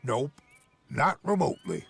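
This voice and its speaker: Very gravelly gruff American bounty hunter, slow, sardonic, terse, faint music behind, Western game. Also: male voice, medium pitch, sing-song, a bit bright, exaggerated voice. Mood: gruff, determined. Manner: terse, grumbling. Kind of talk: video game cutscene acting.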